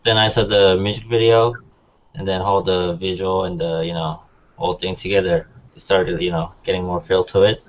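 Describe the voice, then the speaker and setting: Deep guy just chatting on a panel, muffled lo-fi mic with a bit of noise. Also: little pitch movement, moderate pace. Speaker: talk show panelist. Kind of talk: casual chat. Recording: dull, slightly noisy lo-fi sound.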